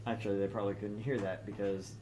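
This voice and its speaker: A man's voice: on the low side, level tone, fast talker, mellow, slight background noise, rough recording. A middle-aged man co-hosting a let's play, chatting casually. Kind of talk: casual chat and playful line reading.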